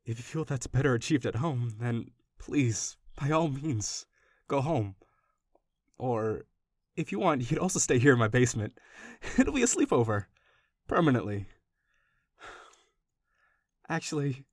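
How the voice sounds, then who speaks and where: Man playing a doting lovestruck kidnapper, mid-pitched, affectionate rambling delivery, obsessive, awkwardly gentle, audio roleplay, dry close recording. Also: very animated, measured pace, long pauses, no room sound. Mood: reassuring, earnest. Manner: gushing, rambling, affectionate, self-interrupting. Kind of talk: audio roleplay addressed directly to the listener.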